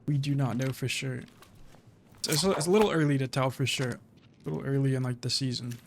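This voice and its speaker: Chill streamer guy, talks super calm and flat-ish, not much emotion, just chatting while playing. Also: fast talker, vocal fry, background noise. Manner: calm, low-key, little emotion in the voice. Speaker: streamer playing a shooter game. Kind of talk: casual gameplay commentary.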